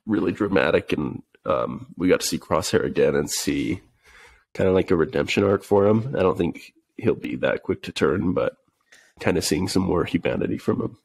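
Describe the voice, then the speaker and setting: A man's voice: low-pitched, moderate pace, creaky, husky. A man who is sick and sniffling, chatting casually as podcast co-host with lots of hesitant fillers. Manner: relaxed, hesitant with many fillers. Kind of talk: casual chat.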